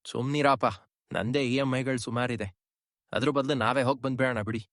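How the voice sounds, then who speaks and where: A man's voice: mid-range voice, fairly even intonation, moderate pace, a bit bright, no room sound, clean studio sound, natural voice. A man voicing a casual, hesitant young friend in a cartoon story, loyal but easily swayed. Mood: reluctant. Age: young adult. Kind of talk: cartoon short story dialogue.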